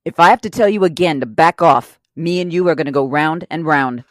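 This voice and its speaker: A woman acting out a tough female criminal in a crime audio drama. Her voice is on the low side, recorded close and dry. She is blunt and earnest, loyal and guilt-ridden, with a hot temper under it. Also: brisk, no room sound, lightly acted. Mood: angry.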